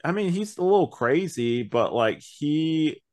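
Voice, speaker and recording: A man chatting casually as a podcast host, hedging and thinking out loud as he reviews. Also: fairly high pitch, animated, a little slow.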